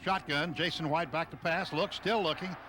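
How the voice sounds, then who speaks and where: A man's voice: on the high side, normal speed. A man doing live play-by-play of a football game on the radio, describing the action in clipped bursts over a noisy outdoor stadium. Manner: clipped running description, excitable on big plays.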